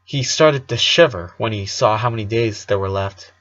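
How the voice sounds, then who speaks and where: A man's voice: on the low side, normal speed, fairly bright tone. A man narrating a story aloud as a reader who now and then stumbles on a word, recorded slightly dull. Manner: occasional stumbles over words while reading. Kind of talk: fan fiction read aloud.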